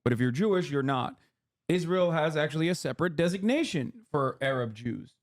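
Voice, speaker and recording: Man explaining fast in a casual lecture. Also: on the high side, lively intonation. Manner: rapid, lecturing, many fillers and restarts. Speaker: streamer explaining politics.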